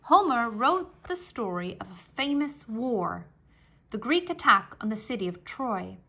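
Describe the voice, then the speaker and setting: A woman with a fairly high voice reads aloud for young listeners as a storybook narrator. Her delivery is scripted and expressive, with clear enunciation and dramatic emphasis on key words. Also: measured pace. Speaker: narrator reading a children's history book aloud.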